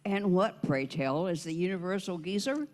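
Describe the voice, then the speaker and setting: Man playing a high-voiced housewife, echoey hall. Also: expressive, normal speed, a little mellow. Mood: arch, curious. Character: polite, skeptical stay-at-home housewife. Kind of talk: amateur comic skit reading. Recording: echoey hall with long reverb.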